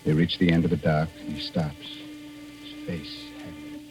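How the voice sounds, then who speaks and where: A low-pitched macabre male horror host from 1940s radio. He is mock-friendly and theatrical, drawing out his words with ghoulish teasing over faint music. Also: wildly swooping pitch. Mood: hushed, ominous. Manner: theatrical, teasing, drawn-out delivery. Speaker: host and narrator.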